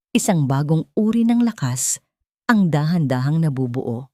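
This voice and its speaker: Woman, slightly low voice, solemn dramatic narration, deliberate phrasing, dry studio-like sound. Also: mellow, dry recording. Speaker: storyteller.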